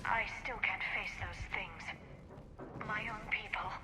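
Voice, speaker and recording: A woman's voice: medium pitch, fairly even intonation, measured pace, natural voice. A woman playing a troubled, determined alien squadmate in a war video game. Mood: grieving. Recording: music behind the voice.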